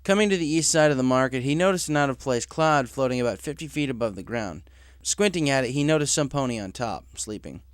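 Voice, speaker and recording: A man's voice: medium pitch, expressive, quick pace, hardly any pauses, clear, resonant tone, dry recording, studio quality. A man reading a story aloud as a scripted narrator. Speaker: story reader.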